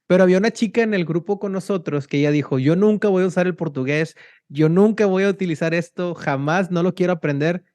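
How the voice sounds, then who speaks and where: A young man from Mexico, hosting a casual interview and telling stories in a slangy, animated way. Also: high voice, fast, no room sound. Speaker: podcast host.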